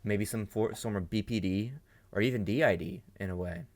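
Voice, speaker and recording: Man, fairly low voice, reaction host, casual musing commentary, repeats words while thinking. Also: moderate pace, darkish tone.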